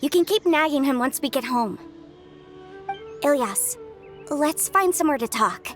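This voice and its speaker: An actress playing a caring, candid young sister with a friendly manner in a video game. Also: female voice, high-pitched, lively intonation, measured pace, faint music behind. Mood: caring, chatty.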